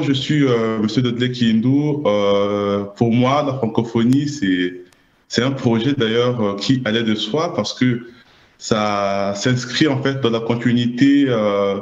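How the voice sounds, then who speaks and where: A man speaks formally and haltingly over a video call, filling his pauses often. The sound is roomy and dull, as from a webcam in a small room, and his pitch is middle. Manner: halting, formal, many fillers. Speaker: partnerships manager.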